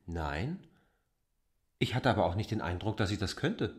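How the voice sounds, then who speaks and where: An actor playing an insistent, polite but stubborn man in a literary radio drama, speaking formally and deliberately as he argues his case. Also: low voice, very animated, breathy edge, dry recording, studio quality, heavily acted. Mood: matter-of-fact.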